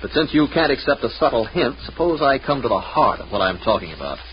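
A man's voice: mid-range voice, very animated, fast talker, close to an everyday voice. A wealthy, suspicious male stable owner played by a man in a vintage radio drama. He is imperious and sardonic, with widely swinging intonation. The sound is dull and a little noisy. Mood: accusing. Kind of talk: 1940s radio acting. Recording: muffled, lightly noisy vintage radio recording.

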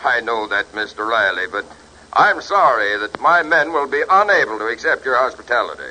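A man's voice: mid-range voice, expressive, a little fast, pushed and bright, slight background noise, poor audio. A man playing a courteous, dignified American army colonel, formal and polite, in 1940s radio drama style. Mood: apologetic.

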